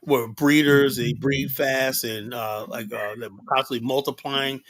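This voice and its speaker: A middle-aged man from Liberia hosting a casual livestream discussion, rambling and animated, full of fillers and "you know?" tags. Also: mid-range voice, a little slow. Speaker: livestream host.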